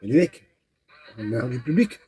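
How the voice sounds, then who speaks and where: Husky, very dark-voiced man. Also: mid-range voice, very animated. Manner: halting, repeats words while searching for them; talks straight to the viewer. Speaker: nomad vlogger. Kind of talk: casual rambling vlog monologue.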